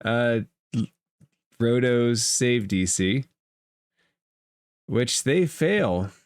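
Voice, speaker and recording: A game master narrating a tabletop game in a measured, matter-of-fact way. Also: mid-range voice, expressive, smooth, dry recording, clean studio sound.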